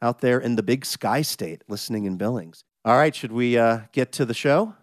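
A man hosting a radio show in a casual, chatty way, his voice a bit hoarse from a cold. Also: medium pitch, normal speed, no room sound, clean studio sound. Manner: upbeat, chatty, jokey.

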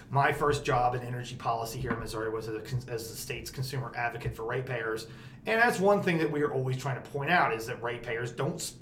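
A man hosting a podcast about energy policy, talking casually with a loud, projecting voice and plenty of emphasis. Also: medium pitch. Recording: roomy echo, faint background noise.

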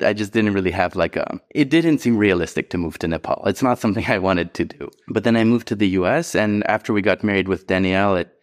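Guest, male, 30s, very low voice, relaxed and conversational. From Hungary. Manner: easygoing, chuckles now and then. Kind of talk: casual personal money story.

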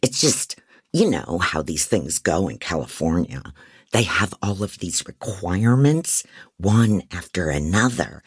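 A man's voice: deep voice, sing-song, a little slow, husky, no room sound, studio quality, full character voice. A man playing a frantic, disorganized private investigator who narrates an audio drama in a rambling, wry, self-doubting way. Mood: defensive. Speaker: narrator and lead actor. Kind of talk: first-person dramatic audio fiction narration.

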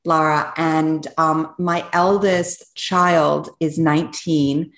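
A woman's voice: sits low, little pitch movement, slow, reverberant. A middle-aged woman hosting a podcast interview, asking long, enthusiastic, rambling questions. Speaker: podcast co-host.

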